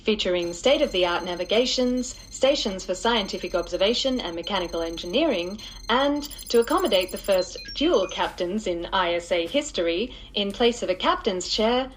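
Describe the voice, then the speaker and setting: Audio drama casting: woman to play female AI supercomputer of a starship, polite, formal, drily sarcastic, medium pitch, noisy recording. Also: expressive, normal speed, few pauses, close to an everyday voice. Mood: proudly presenting. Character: hyper-intelligent female ship supercomputer, helpful, dryly cheeky. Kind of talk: scripted science-fiction comedy audio drama.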